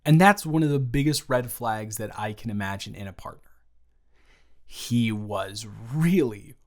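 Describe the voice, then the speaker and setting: A man in an improvised audio roleplay, playing a young male best friend who talks straight to the listener in a gentle, reassuring way and is blunt but kind. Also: medium pitch, measured pace, close to an everyday voice. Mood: earnest, candid.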